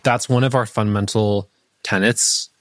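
A man's voice: lowish voice, steady tone, fairly bright tone, dry recording. Dry-voiced man explaining at length. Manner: measured, explanatory, frequent "like" fillers. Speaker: language learning app founder. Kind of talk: podcast interview answers.

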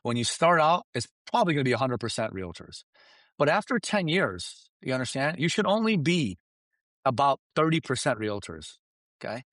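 A man whose first language is Farsi coaches his listeners in an emphatic, animated way, constantly checking in with them. His pitch swings widely as he drives his points home, and the sound is dry and close. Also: moderate pace, no room sound. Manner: emphatic, animated, keeps asking "right?" and "you understand?". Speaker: guest expert. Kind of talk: energetic business coaching talk.

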